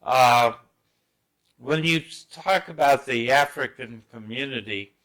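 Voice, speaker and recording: Male host, casual chat, halting delivery with fillers and dry humor, mid-pitched. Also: a bit bright. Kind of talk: casual radio-style interview.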